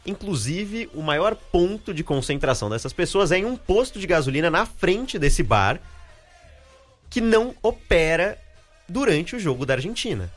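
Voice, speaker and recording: A man giving a lively live field report. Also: on the high side.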